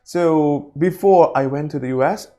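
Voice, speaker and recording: A man's voice: a little high, unhurried, warm tone. Young Thai-accented man in an interview. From Thailand. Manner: simple, non-native English phrasing. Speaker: interview guest, startup co-founder. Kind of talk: casual interview talk.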